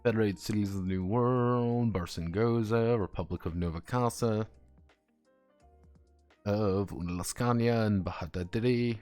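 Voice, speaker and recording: Man, game design streamer, lowish voice, casual rambling commentary, chuckling asides, faint music behind. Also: moderate pace, a little mellow. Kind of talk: casual stream commentary, reading aloud draft game text.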